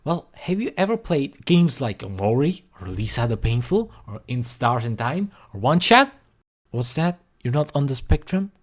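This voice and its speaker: A man's voice: medium pitch, big pitch swings, normal speed. A man hosting a comedic scripted video essay, talking in a sarcastic, self-deprecating and theatrical way. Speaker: YouTube creator and host.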